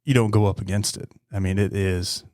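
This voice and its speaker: A man with a fairly low voice hosts a casual podcast. His delivery is informal and halting, with frequent pauses, and the sound is close and dry. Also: little pitch movement, darkish tone, no room sound. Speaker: podcast host.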